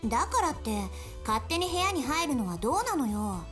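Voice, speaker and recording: A very high, swooping teenage anime girl, pouty and quick to get flustered. Her expressive game-style delivery sits over prominent music. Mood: sulky, indignant. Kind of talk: Japanese visual novel voice acting.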